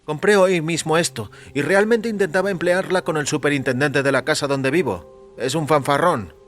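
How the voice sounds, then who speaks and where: A man's voice: a little high, moderate pace, fairly bright tone, voice pushed up, lightly acted. A man voicing a meek, nervous, aggrieved little man in an audio story, hesitant and polite. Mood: resentful. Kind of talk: audio fiction story character. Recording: music under the voice.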